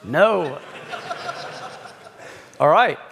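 A man with a softened northern English accent giving a conference talk in a chatty, informal way, often restarting his sentences. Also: fairly high pitch, lively intonation, very slow, creaky. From England. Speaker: conference speaker. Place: large conference hall. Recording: echoey conference hall.

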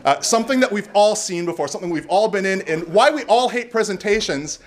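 A middle-aged man from Canada giving a loud, gregarious live talk to a crowd in a bar, joking and swearing as he goes. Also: high-pitched, lively intonation, brisk, slight background noise. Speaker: conference speaker. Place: bar venue before live audience.

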